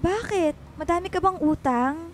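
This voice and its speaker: A woman's voice: very high voice, moderate pace, a little hiss or hum. A Filipino woman playing a sassy, vain and loyal young best friend, blunt and teasing in a radio drama.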